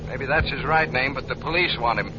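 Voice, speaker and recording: An actor playing a clipped, official airport tower operator in a 1940s radio drama, speaking formally. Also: male voice, medium pitch, lightly acted. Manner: formal, measured repetition. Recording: muffled vintage radio, loud engine-like noise.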